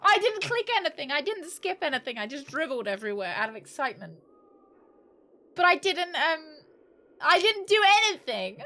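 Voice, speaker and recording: A woman's voice: high-pitched, very animated, moderate pace, sharp tone. A woman giving casual live commentary as she plays a game, with jokey, reactive asides. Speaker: livestreamer playing a horror adventure game.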